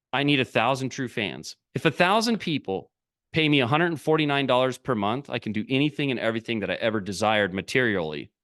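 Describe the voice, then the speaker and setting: A man's voice: a little high, fairly bright tone. Blunt, punchy man coaching a group. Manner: blunt, repetitive, punchy short sentences. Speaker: business coach leading a group call. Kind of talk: motivational talk on a live video call. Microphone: video call.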